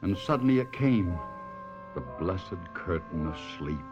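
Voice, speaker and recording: An actor playing an anguished stage performer and husband in an old-time radio drama, husky and theatrical. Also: male voice, sits low, lively intonation, measured pace, music in the background. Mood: haunted narration. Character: despairing, tormented middle-aged stage ventriloquist husband. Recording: muffled vintage radio drama recording.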